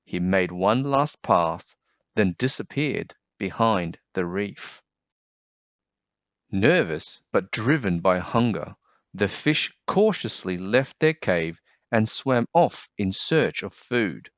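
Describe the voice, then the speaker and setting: A man with a fairly low voice reads a children's storybook. He takes his time and varies his tone a great deal, in a dry, slightly dull recording. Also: expressive, takes it slowly, dry recording. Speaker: children's book narrator. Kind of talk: expressive scripted storybook narration.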